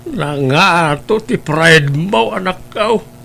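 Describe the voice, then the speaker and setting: A man voicing a stern elderly father who reproaches his grown daughter, speaking slowly and weightily in a family radio drama. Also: a little high, vocal fry, pushed and bright, full character voice. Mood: scolding. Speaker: voice actor.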